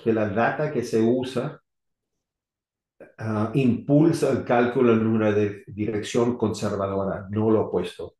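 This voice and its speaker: A middle-aged man from Argentina, now living in the US, giving an unscripted technical talk on electrical safety in halting, rusty Spanish full of restarts and fillers. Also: fairly low pitch, a little mellow. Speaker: electrical safety engineer presenting. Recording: echoey room.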